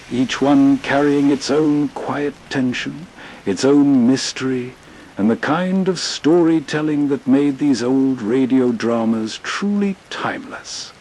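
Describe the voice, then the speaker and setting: A man with a husky voice narrating gently and reflectively as a soothing, scripted nostalgia show host. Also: medium pitch, rough recording.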